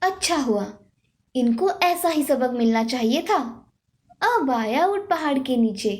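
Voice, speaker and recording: A woman voicing a brash, short-tempered young wife in an animated moral story. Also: sits high, a little slow. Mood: smug.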